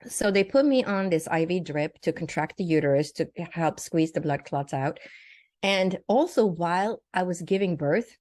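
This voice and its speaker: Older woman, from Sweden, slightly low voice, dry close sound, vivid personal storytelling, interview guest. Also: lively intonation, normal speed, a little mellow, dry recording. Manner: vivid, detailed telling with acted-out quotes. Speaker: guest, naturopathic doctor and spiritual teacher.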